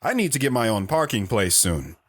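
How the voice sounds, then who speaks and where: A man playing a radio show guest who tells his story in a calm, matter-of-fact way. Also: mid-range voice, a little fast. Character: plainspoken former high-school athlete.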